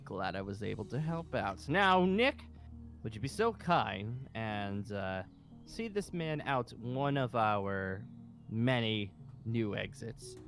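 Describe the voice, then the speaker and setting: A man playing a pompous, commanding colonel of a survivor base in an improvised Minecraft zombie roleplay, with halting, theatrical delivery. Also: fairly low pitch, animated, fairly bright tone, soft background music, heavily acted. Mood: officious.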